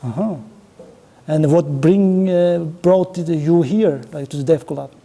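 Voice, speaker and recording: A man with an Uzbek accent speaking halting, choppy English as he runs an informal interactive talk with an audience. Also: fairly high pitch, dark tone. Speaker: conference speaker running an audience networking game.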